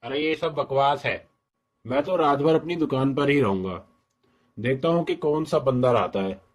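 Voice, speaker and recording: A man's voice: medium pitch, normal speed, lightly acted. An actor playing a blustering village paan seller, boastful but cowardly, in a cartoon story; a man's voice with colloquial speech. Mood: scornful, boastful.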